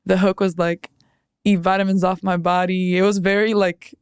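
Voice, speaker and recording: A woman's voice: on the low side, normal speed, clear, resonant tone, dry recording, clean studio sound. A young American woman in her twenties, a musician guest chatting casually in a podcast interview, filling her talk with "like". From United States. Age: 20s. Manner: relaxed, heavy use of "like", laughs easily.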